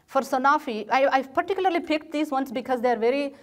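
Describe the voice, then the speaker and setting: A woman's voice: high-pitched, a little fast. A middle-aged woman with an Indian English accent giving a technical presentation in a lecture room, with many fillers and restarts. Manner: frequent fillers and restarts, gestures at slides. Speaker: conference speaker. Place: lecture room, presenting in front of a projected slide.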